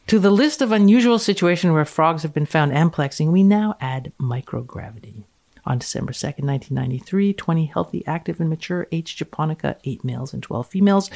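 A woman reading a scientific paper aloud in a measured, precise way with dry amusement, as a podcast guest. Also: lowish voice, a little mellow.

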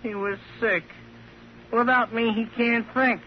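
A man's voice: extremely high pitch, fairly even intonation, measured pace. A man playing a sly, mocking ventriloquist's dummy with a gleeful, needling manner in an old radio drama. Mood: sly, accusing. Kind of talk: 1950s radio drama acting. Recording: muffled, noisy old radio recording.

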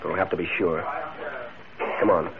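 An actor playing a stern, suspicious, hard-boiled crook boss in a 1940s radio drama. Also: male voice, sits low, fairly even intonation, normal speed. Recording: tinny, narrow old radio recording, crowd behind.

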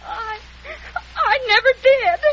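An actress playing a gentle, lovesick young woman cook with a soft, tremulous manner. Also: very high-pitched, slow pace. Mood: tearful. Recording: muffled noisy old radio recording.

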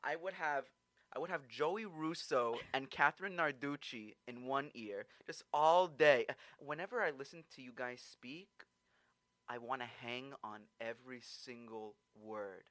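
A man's voice: mid-range voice, measured pace, pushed and bright, no room sound. A man hosting an interview, bantering enthusiastically and swearing casually. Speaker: host.

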